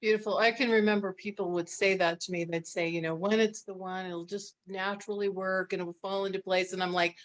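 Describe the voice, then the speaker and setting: A woman's voice: medium pitch, fairly even intonation, a little fast, few pauses. Chatty middle-aged woman hosting an interview. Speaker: host.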